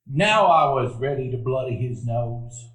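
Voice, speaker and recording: Man as a reflective narrator, grown man remembering boyhood, measured telling, echoey live stage. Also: mid-range voice, expressive, a bit bright. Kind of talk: stage radio play narration. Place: dinner theater stage before a live audience.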